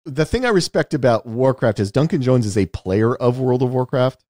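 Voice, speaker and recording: A man's voice: medium pitch, lively intonation, moderate pace, a little mellow, no room sound, studio quality. A man co-hosting a casual film discussion, talking in an opinionated, emphatic way. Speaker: podcast co-host.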